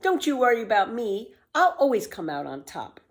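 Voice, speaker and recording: A woman's voice: fairly high pitch, very animated, moderate pace, voice pushed up. A woman voicing a confident, carefree nine-year-old girl from a children's storybook, with a cheerful, boastful, exclamatory delivery. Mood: breezy farewell.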